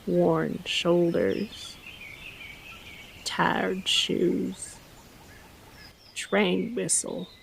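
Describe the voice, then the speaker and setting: Creaky, very slow mysterious woman announcer acted. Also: lowish voice, fairly even intonation, a little hiss or hum, full character voice. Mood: solemn, inviting. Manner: halting, one or two words at a time. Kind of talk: slow dramatic ad read in audio drama.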